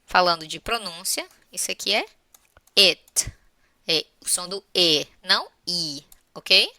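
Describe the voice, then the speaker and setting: A woman's voice: lowish voice, takes it slowly, sharp tone, no room sound. A Brazilian woman teaching a grammar lesson in a conversational, step-by-step way, often checking that listeners follow. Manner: didactic, conversational, spells points out step by step. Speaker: English teacher.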